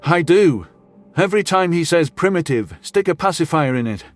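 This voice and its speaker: A man's voice: fairly high pitch, lively intonation, normal speed, no room sound, pitched above the performer's own voice, natural voice. A man voicing a brash, indignant male commanding officer with blunt, slangy, sarcastic speech in a sci-fi audio story.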